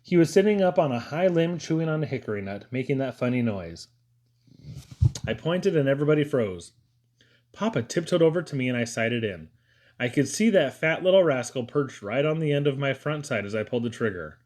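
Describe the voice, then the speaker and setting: A man's voice: medium pitch, lively intonation, a little fast, a little mellow. A man reading a first-person story aloud as an audiobook narrator. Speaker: audiobook reader.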